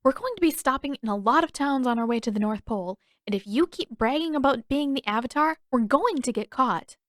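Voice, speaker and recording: A woman's voice: sits high, animated, brisk, no room sound, clean studio sound, pitched above the performer's own voice. A woman voicing a wary, exasperated teenage girl in an audiobook, intense and demanding. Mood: worried, demanding. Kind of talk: audiobook character voice.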